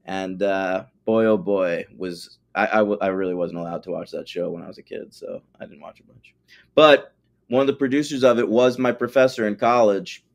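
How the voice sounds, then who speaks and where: A man's voice: lowish voice, normal speed. A man in his forties co-hosting a casual film podcast, wry and rambling, with casual swearing. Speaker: podcast co-host.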